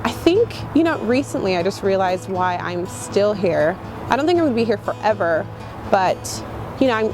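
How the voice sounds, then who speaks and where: Earnest woman thinking out loud, mid pitch, music under it. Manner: thoughtful, earnest. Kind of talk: reflective interview answer. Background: background music.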